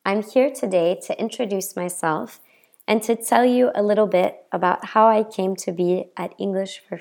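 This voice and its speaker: A woman from the American South with a Southern accent, introducing herself as a language teacher in a friendly, measured podcast talk. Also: medium pitch, gravelly, a little mellow. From Southern United States. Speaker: English teacher. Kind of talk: scripted podcast self-introduction.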